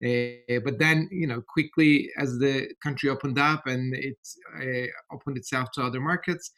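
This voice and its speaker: A middle-aged man from Turkey with a Turkish accent, giving a podcast interview in a measured, thoughtful way with many hesitations. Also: medium pitch, fairly even intonation, a bit bright. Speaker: interview guest.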